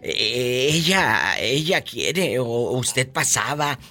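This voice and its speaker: A man with a Mexican accent hosting a call-in radio show, teasing callers and laughing loudly. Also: medium pitch, animated, slow pace. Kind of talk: playful radio call-in banter.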